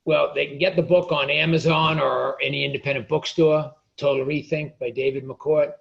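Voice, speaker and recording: Gravelly-voiced indignant male guest. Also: fairly high pitch, little pitch movement, moderate pace, muffled recording. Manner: indignant, restarts often. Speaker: author and guest. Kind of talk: interview conversation.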